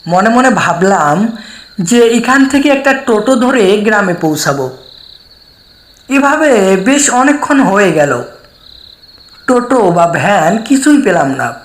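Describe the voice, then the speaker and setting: A woman narrating a scripted horror story in the first person, in a measured, suspenseful way. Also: mid-range voice, sing-song. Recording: echoey voice with faint background noise.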